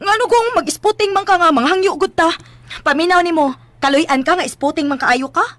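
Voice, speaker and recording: A woman's voice: extremely high pitch, a little fast, a bit bright, no echo. A woman with a Cebuano Filipino accent playing a feisty, protective young farmer's daughter in a radio drama, whiny and emphatic. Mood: teasing scolding. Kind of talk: Philippine radio drama acting.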